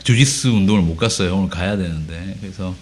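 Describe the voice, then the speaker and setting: A man with a hoarse voice from a cold, casually explaining guitar pedals in a relaxed way, with frequent filler sounds. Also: deep voice, quick pace. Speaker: guitar teacher. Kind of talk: casual gear demo lesson.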